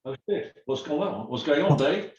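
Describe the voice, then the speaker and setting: A man's voice: fairly high pitch, animated, moderate pace, darkish tone. A man hosting a casual video call, asking curious questions between short acknowledgements. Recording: echoey dull video call audio.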